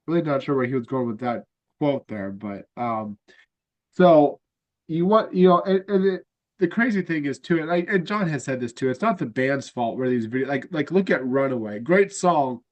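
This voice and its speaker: A man with a congested, nasal voice hosts a casual fan chat. He rambles along with plenty of fillers and restarts, pitched in an ordinary middle range. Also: animated, moderate pace, lo-fi sound. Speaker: podcast host.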